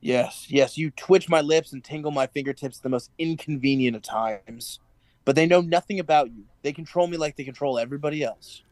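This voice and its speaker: An actor playing an anxious, obedient party worker with hidden doubts in a radio drama, earnest and dutiful. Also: male voice, fairly high pitch, fairly quick, darkish tone, close to an everyday voice. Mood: uneasy, defensive.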